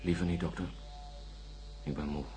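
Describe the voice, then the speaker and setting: An actor playing an exhausted, irritable astronaut in a radio drama, curt and sarcastic in manner. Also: male voice, very deep voice, fairly even intonation, moderate pace, music in the background. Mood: tired, bitter. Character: exhausted, irritable male astronaut, cynical and snappish.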